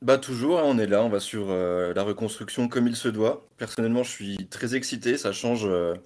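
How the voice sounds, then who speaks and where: Male guest, lower pitch, upbeat casual chat. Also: a little fast, a little mellow. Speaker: guest, fan account runner.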